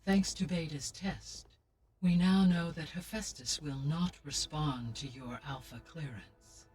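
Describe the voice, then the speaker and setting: An actor voicing a calm, formal artificial intelligence in a video game, speaking in a precise, measured way. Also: male voice, on the high side, breathy edge, far from the mic.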